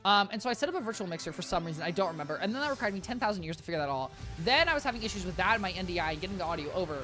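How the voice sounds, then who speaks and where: A game streamer with a high, squeaky voice talks to chat in a loose, rambling way, starting sentences over and correcting themselves. Their pitch jumps up and down a lot. Soft game music plays quietly underneath. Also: very animated, racing. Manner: rambling, self-correcting and chatty, often addresses chat as guys. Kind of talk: casual live-stream chat while gaming. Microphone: desk mic with compressor.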